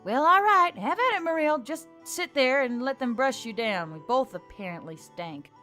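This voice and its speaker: A woman's voice: high voice, animated, moderate pace, bright, forceful tone, soft background music, pitched above the performer's own voice. Woman acting an easygoing, wry heroine. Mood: resigned.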